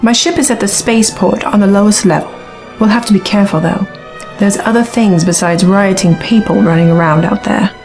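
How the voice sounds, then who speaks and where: A woman's voice: medium pitch, fairly quick, a little mellow, soft background music, close to an everyday voice. An actress playing a hard-bitten, sceptical woman reporter and pilot in a science fiction audio drama. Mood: resigned. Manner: matter-of-fact, sceptical.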